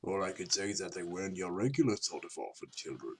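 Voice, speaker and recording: A man playing a sharp old islander in his eighties, reminiscing in folksy clipped phrases for an audiobook drama, gravelly and husky. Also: sits low, expressive, fast talker, a little hiss or hum. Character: 83-year-old island uncle, sharp, gossipy old local.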